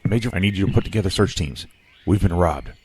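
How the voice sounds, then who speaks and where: An actor playing a stern senior military commander in an audio drama, terse and authoritative. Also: male voice, low voice, animated, quick pace, very noisy. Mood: stern, urgent.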